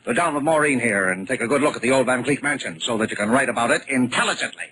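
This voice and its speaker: An actor playing a brusque, commanding newspaper editor in a 1940s radio drama, businesslike and no-nonsense. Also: male voice, medium pitch, fast, sharp tone, natural voice. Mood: stern, commanding. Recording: slightly noisy vintage radio recording.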